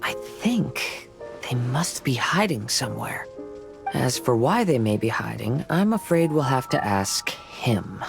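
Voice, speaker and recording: An actor playing a calm, observant young swordsman in a video game, measured and courteous. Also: medium pitch, gravelly, over music, heavily acted. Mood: thoughtful. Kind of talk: video game cutscene acting.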